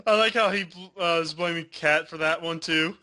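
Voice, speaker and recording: A man on a casual review podcast panel, quick to push back and argue his points. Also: on the high side, noisy background. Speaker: podcast co-host.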